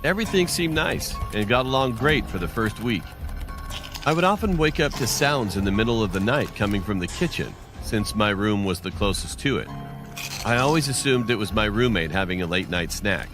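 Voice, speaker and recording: A man's voice: fairly low pitch, animated, normal speed. A man narrating a scripted scary story in the first person. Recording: music under the voice. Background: clearly audible music.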